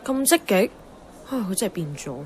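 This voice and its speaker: A woman's voice: medium pitch, very animated, moderate pace, slight background noise, close to an everyday voice. An actress playing an outspoken young woman in a Hong Kong Cantonese radio drama, blunt and teasing, moving between dialogue and inner narration. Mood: breezy, defiant. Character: outspoken, headstrong young woman, a radio host chasing her dream. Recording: music under the voice.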